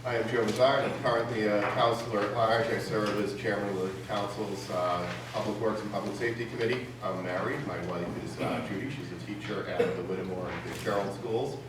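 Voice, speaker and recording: Man, lowish voice, conversational halting speech, distant microphone, heavy echo. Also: fairly even intonation. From Massachusetts, United States. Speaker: city councilor. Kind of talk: informal campaign speech. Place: large indoor public forum room. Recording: echoey hall, distant mic, background noise.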